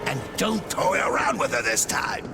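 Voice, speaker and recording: An actor playing an impatient, commanding companion in a video game, chiding in a wry, urgent, bossy way. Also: male voice, medium pitch, very animated, moderate pace, natural voice. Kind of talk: video game cutscene acting. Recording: very loud music over the voice.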